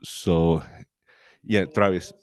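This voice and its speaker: A man's voice: low-pitched, fairly even intonation, very slow, dry recording. A man researcher standing in a meeting room with a handheld mic, talking casually and rambling with fillers. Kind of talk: meeting discussion. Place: conference room, indoors.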